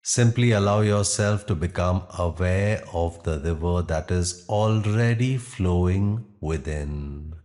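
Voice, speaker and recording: A man leading a guided yoga nidra meditation in a gentle, soothing and measured manner, leaving pauses between phrases. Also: deep voice.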